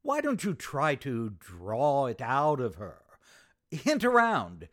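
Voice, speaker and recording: An actor playing an amused best pal who hands out advice in an old-time radio sitcom. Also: male voice, medium pitch, huge pitch swings, slow pace, fairly bright tone, heavily acted. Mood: amused, advising.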